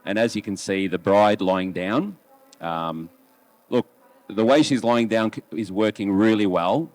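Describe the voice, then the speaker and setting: A man's voice: fairly low pitch, a little slow, darkish tone, no echo. An Australian man in his late forties talking casually as a photo critic, chatty and often checking in with his colleagues. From Melbourne, Australia. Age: late 40s. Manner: chatty, rhetorical questions to colleagues. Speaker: wedding photographer and competition judge. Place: booth at a trade show.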